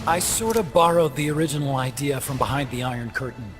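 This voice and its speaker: An actor playing a talkative, earnest male weapons scientist in a video game cutscene, explaining things intently with dramatic pauses. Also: medium pitch, a bit bright, close to an everyday voice. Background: music.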